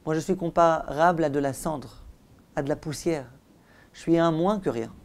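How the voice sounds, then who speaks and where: A man's voice: a little high, moderate pace, darkish tone. Emphatic male rabbi teaching a class. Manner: rhetorical questions, emphatic repetition, acts out dialogue. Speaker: rabbi giving a Torah class. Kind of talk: religious lecture with storytelling.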